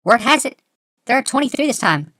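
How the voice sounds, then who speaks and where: Man doing an eager, high-voiced young boy. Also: big pitch swings, brisk, pushed and bright, no room sound, studio quality, full character voice. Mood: eager, earnest. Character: young boy assistant, ingenuous, devout, irritatingly helpful.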